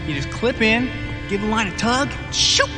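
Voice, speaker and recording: Game role: talkative, chummy male mechanic, lightly high pitch, reverberant room, eager to sell his gadgets. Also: lively intonation, normal speed, over music. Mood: enthusiastic. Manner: chummy, fussy, salesman-like. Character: chatty, eager rig mechanic, a man. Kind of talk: video game cutscene acting.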